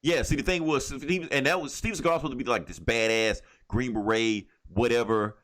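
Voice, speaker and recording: A man's voice: medium pitch, a little fast, a bit bright. A man co-hosting a podcast in casual, opinionated fan banter with plenty of swearing. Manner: profane, opinionated fan talk. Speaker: podcast co-host. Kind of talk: casual pop-culture banter.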